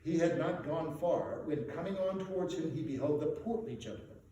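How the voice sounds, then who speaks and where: Animated male storyteller in a very echoey room. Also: a little high, moderate pace, highs cut off. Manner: theatrical, expressive. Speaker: storyteller, stage performer. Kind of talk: dramatic reading to an audience. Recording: very echoey room, distant mic, faint noise.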